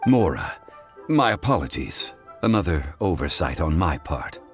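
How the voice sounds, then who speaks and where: A man voicing a composed, learned gentleman in a video game, speaking in a formal, measured, lecturing manner. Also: rumbling low, big pitch swings, faint music behind, full character voice. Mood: apologetic. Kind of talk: video game cutscene voice acting.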